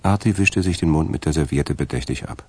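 A man narrating a radio drama from a script, in a deep, gravelly voice. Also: fairly quick, darkish tone.

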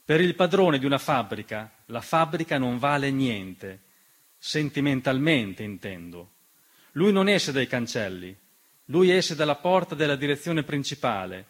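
A man performing a theatrical narrative monologue, measured and speaking directly to the listener with long pauses. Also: mid-range voice, very animated. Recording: piano music behind the voice. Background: piano accompaniment.

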